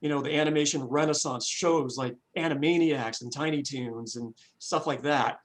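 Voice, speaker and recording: A man of forty, a guest in a casual interview, talking in a relaxed, rambling way and laughing easily. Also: mid-range voice, moderate pace. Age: 40s. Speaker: guest, animator. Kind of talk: casual chat.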